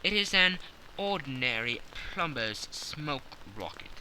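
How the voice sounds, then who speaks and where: A man's voice: a little high, animated, unhurried, sharp tone, slight background noise, exaggerated voice, poor audio. A man voicing a keen-minded, self-assured male detective in an audiobook, giving precise and authoritative instructions. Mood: commanding, precise. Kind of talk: audiobook character dialogue.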